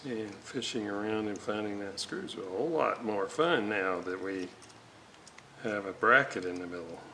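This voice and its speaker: A man's voice: lowish voice, pushed and bright, slight background noise. A man talking through a radio repair at his workbench, muttering to himself as he works. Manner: slow, muttering to himself while working. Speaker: radio restorer. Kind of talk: hands-on repair walkthrough. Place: workbench indoors.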